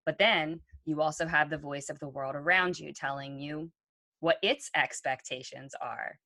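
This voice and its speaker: A woman's voice: low voice, steady tone, normal speed, gravelly, pushed and bright, no room sound. A woman co-hosting a podcast, talking earnestly and reflectively with frequent ums. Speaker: podcast co-host. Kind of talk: conversational podcast talk.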